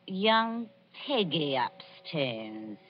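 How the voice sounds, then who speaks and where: A scheming old crone from London, wheedling in a raised pitch. She craves gin and plays it theatrically, as in a 1940s radio thriller. The recording is muffled and old. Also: big pitch swings, extremely slow, a little hiss or hum, heavily acted. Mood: sly, insinuating. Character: scheming, gin-craving old crone, a body snatchers' accomplice.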